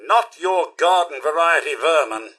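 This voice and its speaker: A polite, fretful robot butler with a British accent, as a video game companion. The voice is bright and forceful, swooping expressively through each phrase. The delivery is formal and deferential, with a loyal, fussy servant's theatrical air. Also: male voice, a little high, expressive, unhurried, breathy edge, exaggerated voice. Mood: disdainful, rueful.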